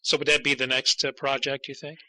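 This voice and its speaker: Male podcast interviewer, conversational, sharp bright tone, close dry recording. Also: mid-range voice, monotone, fairly quick, dry recording. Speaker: podcast host interviewing a guest.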